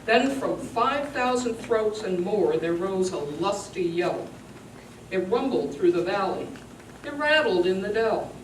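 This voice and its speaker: A woman giving a dramatic live poetry recitation in a theatrical, emphatic, deliberate storytelling delivery. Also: mid-range voice, animated. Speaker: performer giving a dramatic reading. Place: roomy indoor venue, recorded at a distance. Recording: echoey, noisy live room, distant mic.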